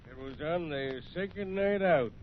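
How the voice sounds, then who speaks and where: An actor playing the storyteller who narrates a western tale on old-time radio. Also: male voice, a little high, normal speed, natural voice. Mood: storytelling. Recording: tinny, noisy old radio recording.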